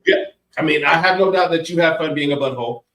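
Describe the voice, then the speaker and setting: A man's voice: a little high, fast, a bit bright. A man hosting a casual cooking podcast, joking around and plugging the network's other shows. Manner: joking, hypes upcoming shows. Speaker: podcast host. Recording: roomy, distant mic.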